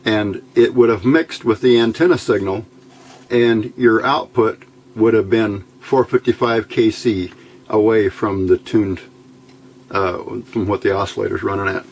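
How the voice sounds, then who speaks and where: A man's voice: lowish voice, measured pace. A man giving a casual hands-on electronics demonstration, informally thinking aloud in short phrases. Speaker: electronics hobbyist presenter. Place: indoors at a workbench. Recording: noisy home-video recording.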